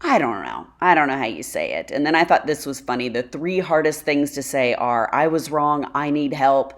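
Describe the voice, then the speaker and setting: Deep-voiced Texan woman chatting. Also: animated, normal speed. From Dallas, Texas. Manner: chatty, drawling, laughs often. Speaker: channel host. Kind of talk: casual chat to viewers.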